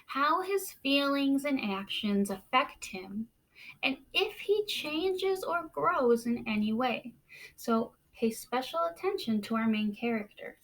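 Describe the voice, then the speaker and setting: A woman with a fairly high voice leads a picture-book read-aloud for children. She takes her time, speaking slowly and deliberately. Key words get expressive emphasis, as a teacher would give them. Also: low-quality recording.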